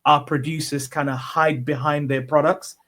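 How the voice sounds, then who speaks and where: A man's voice: fairly high pitch, little pitch movement, normal speed, bright, forceful tone. A man hosting a magazine interview, encouraging the guest and repeating words as he builds his questions. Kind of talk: conversational interview.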